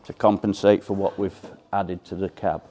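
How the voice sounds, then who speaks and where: A low-voiced man reports on his job in a plain, matter-of-fact way. He is speaking inside a vehicle workshop. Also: little pitch movement, normal speed, music in the background. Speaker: workshop fabricator. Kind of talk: casual work update.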